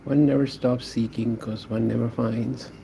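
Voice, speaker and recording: A man's voice: mid-range voice, flat delivery, normal speed, vocal fry, warm tone, a little hiss or hum, rough recording. A man from Pakistan, chatting casually with viewers while he draws on a livestream, answering their comments in a laid-back way. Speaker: art livestreamer.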